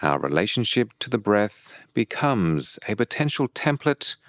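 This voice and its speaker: A man leading a guided meditation as a teacher, with calm, measured and deliberate phrasing broken by pauses. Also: lowish voice, dry recording, studio quality.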